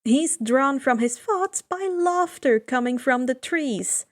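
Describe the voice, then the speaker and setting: High-voiced woman narrating slowly. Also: animated. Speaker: fan fiction reader and host. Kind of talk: story narration.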